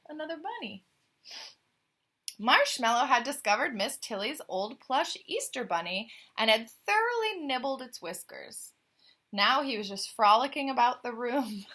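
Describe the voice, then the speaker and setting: A woman's voice: a little high, sharp tone. A woman reading a children's picture book aloud as a storyteller, expressively, with chatty, questioning asides to young listeners.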